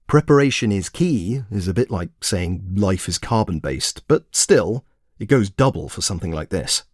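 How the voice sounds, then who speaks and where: Man playing a smug pedantic traveller, lowish voice, lively swooping intonation, condescending, precise, comic audio story monologue. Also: normal speed, no echo, studio quality. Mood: self-satisfied. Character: smug, pedantic, self-admiring obsessive male traveller packing.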